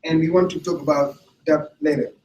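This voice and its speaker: A man from Senegal gives a teaching presentation, speaking deliberately and circling back over his words. His voice sits a little high for a man. He is recorded from a distance in an echoey room with faint ambient hiss. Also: steady tone, a little mellow. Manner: deliberate, with restarts and repeated words. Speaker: English teacher presenting a training module. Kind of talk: presentation to camera. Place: room without audience.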